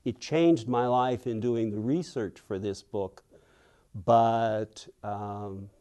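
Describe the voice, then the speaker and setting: A man's voice: fairly low pitch, fairly even intonation, mellow. An older man from Western Pennsylvania, an author telling reflective stories in an interview and acting out the voices of people he quotes. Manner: thoughtful, unhurried, acts out quoted people.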